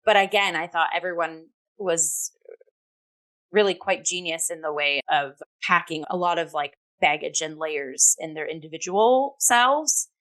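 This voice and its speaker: A woman's voice: deep voice, unhurried, a bit bright. A woman co-hosting a casual review chat, conversational and emphatic as she searches for words. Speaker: talk show co-host and theatre reviewer.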